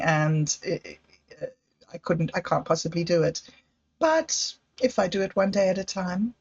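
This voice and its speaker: A woman, hosting a livestream and musing in a hesitant, self-doubting way about her projects. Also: lowish voice. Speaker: livestream host. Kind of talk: casual livestream monologue. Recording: slightly dull lo-fi audio.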